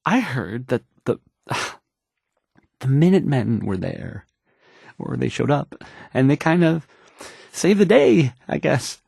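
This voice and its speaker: A man's voice: medium pitch, big pitch swings, slow pace, gravelly, pauses often, darkish tone, husky, dry recording, clean studio sound, exaggerated voice. An actor playing a nervous, awkward young male radio DJ in a game, stammering and hesitating through the news, rambling and unsure of himself. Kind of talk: fumbled radio news report in a video game.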